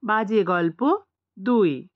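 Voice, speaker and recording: A woman's voice: medium pitch, animated, drawn-out words, phone-quality sound, over music. A woman narrating a comic story as a dramatic audiobook storyteller.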